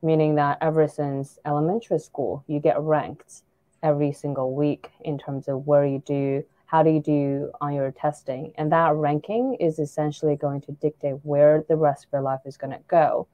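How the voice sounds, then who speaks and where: A woman's voice: sits low, little pitch movement, normal speed, no echo, lo-fi sound. A woman in her forties from China, a startup founder talking thoughtfully as an interview guest, with many fillers and restarts. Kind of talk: casual interview conversation.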